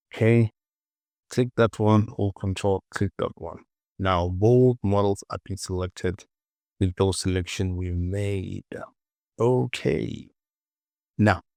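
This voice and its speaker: Man, software tutorial presenter, slow delivery, creaky fry, muttered repeated phrases, clipped steps. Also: deep voice, no room sound, clean studio sound. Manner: muttering to himself, short clipped instructions, repeats words. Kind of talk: informal screen-recorded software tutorial.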